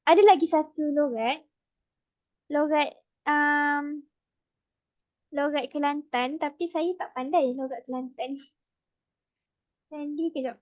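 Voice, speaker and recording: A woman's voice: high-pitched, steady tone, slow pace, long pauses. A woman with a Malaysian accent teaching a casual online Malay class, patient and mixing Malay with English.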